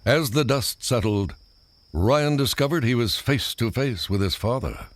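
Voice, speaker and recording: A man's voice: mid-range voice, expressive, unhurried. A man reading a scripted children's read-along story as its narrator. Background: music.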